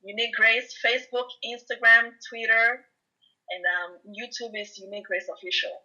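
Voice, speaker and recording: A woman's voice: a little high, level tone, moderate pace, bright, forceful tone, highs cut off. A Belgian woman whose first language is Dutch, a guest in a casual interview chat, talking emphatically and saying "you know" often.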